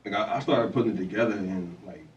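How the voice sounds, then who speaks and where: A man of thirty, a rapper guest, giving relaxed answers that trail off into fillers. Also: fairly low pitch, quick pace, reverberant, distant mic, muffled recording. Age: 30s. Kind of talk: casual interview chat.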